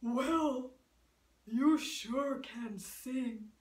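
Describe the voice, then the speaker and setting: A woman voicing friendly animal musicians, a dog and a donkey, in earnest, measured rhyme. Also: high-pitched, voice pushed down. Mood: friendly, encouraging. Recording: roomy echo, distant microphone.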